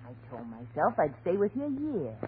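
An American actress playing a drunken, taunting, spiteful young wife in a slangy, theatrical 1930s radio drama style. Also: female voice, low-pitched, big pitch swings, a little fast. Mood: bitter contempt. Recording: scratchy, tinny 1930s radio broadcast.

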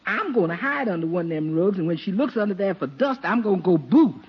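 An actress playing an exasperated, good-humored, sassy housekeeper in 1940s radio comedy, grumbling emphatically and repeating herself. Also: female voice, fairly low pitch, sing-song, quick pace. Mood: wry grumbling. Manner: emphatic, repetitive grumbling with chuckles. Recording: noisy, muffled 1940s radio recording.

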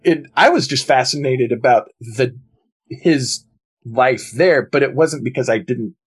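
A man's voice: mid-range voice, measured pace, slightly rough. A man from the United States co-hosting a podcast, telling stories in a curious, enthusiastic way. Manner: curious, enthusiastic storytelling with animated swings. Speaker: podcast co-host. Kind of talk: casual conversation.

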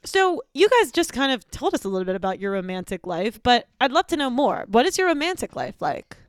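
A woman with a deep voice, deadpan and given to giggles, interviewing people in an improvised comedy sketch. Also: brisk, no room sound, clean studio sound.

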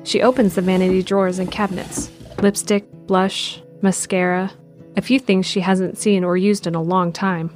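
A woman narrating an audio drama in a calm, measured, descriptive way. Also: medium pitch, faint music behind. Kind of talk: scripted narration.

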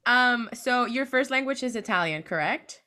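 A woman's voice: on the high side, expressive, normal speed, sharp tone. A young woman hosting a podcast interview, asking questions with many "like"s and "um"s and giggling often. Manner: giggly, lots of "like" and "um". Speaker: podcast host. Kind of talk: casual interview.

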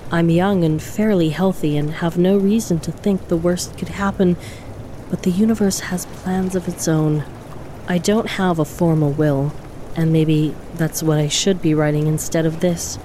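A woman's voice: on the low side, dark tone, a little hiss or hum, lightly acted. An actress playing a tender young woman, reading a farewell letter with wistful affection in an audio drama.

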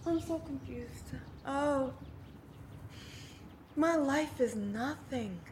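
An actress playing a heartbroken young wife who speaks in broken, wandering phrases and half-sings a verse. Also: female voice, high-pitched, very slow, a little mellow, a little hiss or hum. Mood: dazed grief. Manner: broken, wandering speech, half-sung recitation.

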